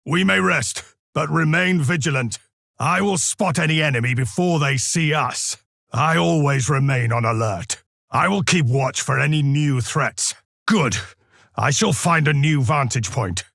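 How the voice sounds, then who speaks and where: Gravelly, vigilant space marine. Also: male voice, on the high side, measured pace, fairly bright tone, no echo, studio quality, exaggerated voice. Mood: watchful. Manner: solemn, formal, archaic phrasing. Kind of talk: video game combat voice lines.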